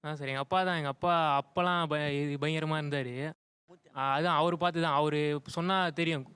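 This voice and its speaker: A man's voice: a little high, steady tone, fairly quick, fairly bright tone, clear, resonant tone, no room sound. A young man telling anecdotes informally as a talk show guest. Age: young adult.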